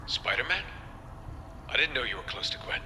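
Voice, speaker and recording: A man playing a stern, suspicious scientist antagonist in a video game, speaking in a measured, menacing way. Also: mid-range voice, big pitch swings, natural voice. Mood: accusing. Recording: reverberant, faint noise behind.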